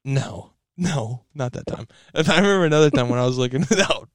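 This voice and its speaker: A man's voice: medium pitch, lively intonation, fairly quick, no room sound, clean studio sound. A young man hosting a podcast in a laid-back, joking way, swearing freely. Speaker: podcast host. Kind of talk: casual chat.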